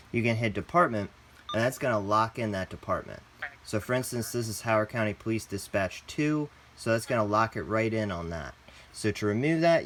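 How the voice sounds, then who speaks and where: A man hosting a step-by-step product tutorial, talking in a casual, plain instructional way. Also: fairly low pitch. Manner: casual, plain instructional, addresses viewers as guys. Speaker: host, tutorial presenter.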